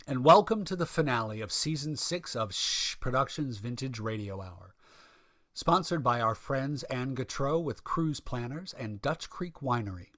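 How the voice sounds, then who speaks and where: A man's voice: mid-range voice, expressive, normal speed, fairly bright tone, dry recording, studio quality. A man hosting a vintage-style radio show with a scripted, theatrical and emphatic introduction. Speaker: radio show announcer and host.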